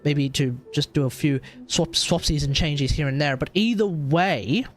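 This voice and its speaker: A man's voice: a little high, normal speed, a little hiss or hum. A man with an Australian accent chatting casually and ramblingly as a livestream host. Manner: rambling, mixes in Japanese phrases.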